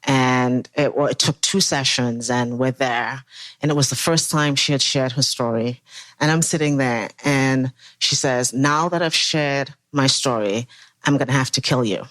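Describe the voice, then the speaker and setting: A man's voice: mid-range voice, fairly bright tone, dry recording. Man telling a story, dry close sound. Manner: measured storytelling. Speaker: former assistant pastor, panel guest. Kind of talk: personal story in a podcast discussion.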